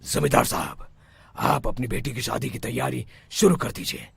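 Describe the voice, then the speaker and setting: A man's voice: medium pitch, expressive, normal speed, gravelly, a bit bright, husky, no room sound, full character voice. A man voicing stern older village men in a cartoon story, emphatic and imperious. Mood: commanding. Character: stern older village men: father-in-law, landlord, exorcist.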